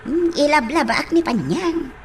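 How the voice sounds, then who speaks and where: A lively, suspicious busybody, played by a woman with an Ilocano accent. The voice is high and highly animated, throwing out theatrical questions and exclamations in a comic radio drama. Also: normal speed, slight background noise. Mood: playful.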